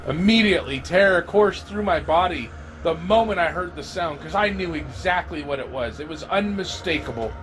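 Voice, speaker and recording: A man playing a wounded, frightened survivor, telling his story in a dramatic, halting first-person horror audio drama delivery. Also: on the high side, animated, fairly bright tone, a little hiss or hum. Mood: tense, hushed. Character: terrified wounded male survivor of a zombie horde.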